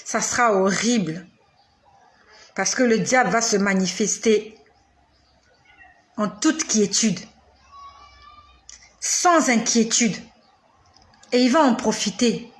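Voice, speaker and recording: A woman in her forties preaching directly to the listener. Her voice sits a little high, and she speaks slowly and emphatically. She leans on repetition and dramatic pauses to drive each point home. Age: 40s. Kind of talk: sermon addressed to the listener.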